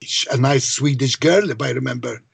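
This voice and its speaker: A man from Malta with a low voice, talking about his songwriting in a casual interview, often hesitating and repeating words. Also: fairly quick, gravelly, breathy edge, dry recording. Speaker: songwriter guest.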